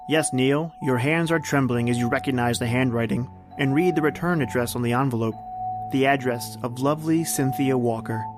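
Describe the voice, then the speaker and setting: A man narrates like an old-time radio host, in a mid-pitched voice with theatrical storytelling delivery. Music plays clearly behind him. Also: a little fast.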